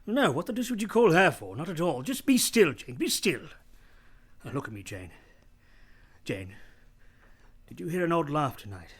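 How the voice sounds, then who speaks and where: An actor playing a brusque, teasing, moody gentleman master of the house in a radio play, imperious and halting. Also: a little high, big pitch swings, husky. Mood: urgent, secretive. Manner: imperious, abrupt, probing, halting delivery.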